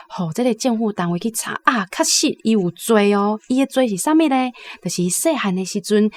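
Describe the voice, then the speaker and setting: A woman with a Taiwanese accent, hosting and retelling a story conversationally, often ending phrases with tag particles. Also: medium pitch. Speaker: host.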